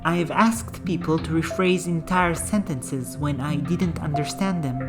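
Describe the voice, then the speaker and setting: Low-voiced woman narrating over music. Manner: calm, explanatory, measured. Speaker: language-learning channel host. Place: voice-over, not in the game. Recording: music bed behind the voice.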